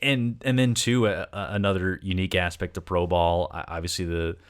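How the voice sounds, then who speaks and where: A man's voice: deep voice, steady tone, brisk, clean tone. A man interviewing as a sports podcast host, conversational, with wordy questions that meander through several parts.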